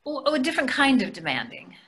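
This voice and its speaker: Woman playing placating wife, bright voice. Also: high-pitched, sing-song, quick pace, heavily acted. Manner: placating, chatty. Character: eager-to-please, smoothing-over empty-nester wife. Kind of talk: play reading, restaurant comedy. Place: indoors on a video call. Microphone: video call microphone.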